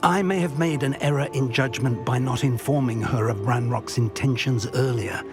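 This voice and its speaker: A man's voice: mid-range voice, normal speed, over music, close to an everyday voice. An actor playing a grave, concerned older male professor who speaks formally in a video game.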